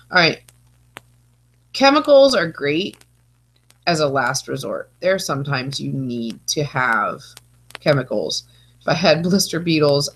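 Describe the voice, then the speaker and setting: A woman's voice: rumbling low, gravelly, fairly bright tone, breathy edge, low-quality recording. A woman with a stuffy, congested nose, giving a chatty online lecture as a teacher, full of playful asides. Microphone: video call.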